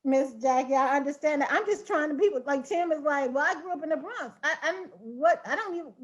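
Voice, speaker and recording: A woman's voice: high-pitched, lively intonation, fairly quick. A woman on a discussion panel, earnestly and steadily scolding another panelist to choose his words more wisely. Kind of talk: heated panel debate. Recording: slightly dull lo-fi audio.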